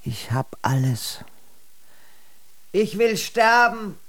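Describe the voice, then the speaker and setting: A woman's voice: medium pitch, very animated, drawn-out words, a bit bright, heavily acted. An actress playing a bored, irritable, imperious queen in a radio play, with a blunt and scornful manner. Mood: bored, weary.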